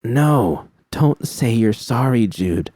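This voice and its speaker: A man voicing a gentle, reassuring young woman. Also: mid-range voice, animated, slow pace, no echo, clean studio sound, pitched above the performer's own voice. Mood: soothing. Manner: soft, coaxing, reassuring. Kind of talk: fan audiobook reading.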